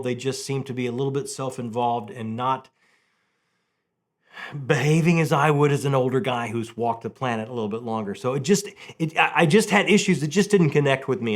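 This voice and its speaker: A middle-aged man chatting casually and enthusiastically about books as a channel host, repeating phrases for emphasis. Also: medium pitch. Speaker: host of a book review channel.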